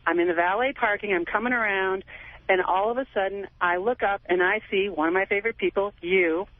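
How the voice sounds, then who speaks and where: A woman's voice: medium pitch, brisk, no room sound. An American woman in her forties calling a radio show, talking casually in a chatty, emphatic way. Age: 40s. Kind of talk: casual phone-in talk. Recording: tinny phone line on radio.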